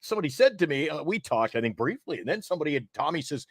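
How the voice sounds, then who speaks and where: A man with an American accent hosts casual live sports talk. His voice sits a little high and moves with a lot of melodic swing. The recording is close and dry. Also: big pitch swings, rapid-fire, breathy edge, dry recording. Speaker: sports talk show host. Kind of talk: casual live broadcast chat.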